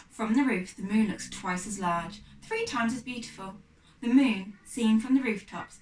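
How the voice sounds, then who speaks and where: A woman reads a children's storybook aloud like a teacher, with expressive, deliberate phrasing. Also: medium pitch. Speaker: primary school teacher reading aloud. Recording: echoey room, distant microphone.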